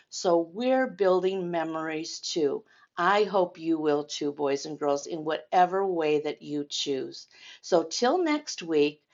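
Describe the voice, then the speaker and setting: An older woman reads aloud to young children in an expressive storytime style. Her voice is on the low side and very animated, moving at a slow, careful pace. She addresses the children directly throughout. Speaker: storytime host reading a picture book aloud to children. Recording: dull, lo-fi sound.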